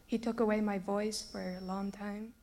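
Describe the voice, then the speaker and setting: A woman's voice: medium pitch, flat delivery, moderate pace, warm tone. A woman in her thirties who grew up in Brazil, giving an earnest personal faith testimony to a church group. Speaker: former teacher giving a testimony.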